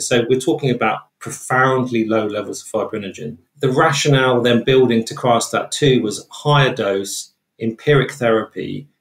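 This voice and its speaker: A British man explaining research as an interview guest in a measured, careful way. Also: mid-range voice. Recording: roomy, echoey sound.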